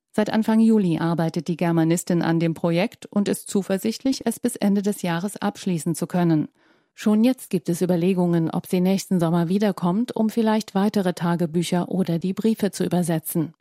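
Calm woman reading a news voice-over. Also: on the low side, normal speed, no echo, studio quality. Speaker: news reporter. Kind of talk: scripted narration.

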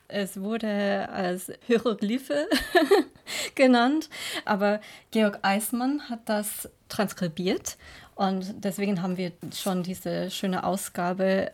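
A woman's voice: medium pitch, darkish tone. A woman from the United States, a native English speaker with an American accent, answering interview questions as an academic. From United States, Colorado.

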